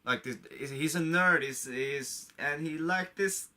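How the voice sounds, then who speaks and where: A man's voice: on the high side, takes it slowly, bright, forceful tone. A man getting over a cold gives a casual, unscripted movie review to camera, an enthusiastic fan who rambles and repeats himself. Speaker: online video creator reviewing a film.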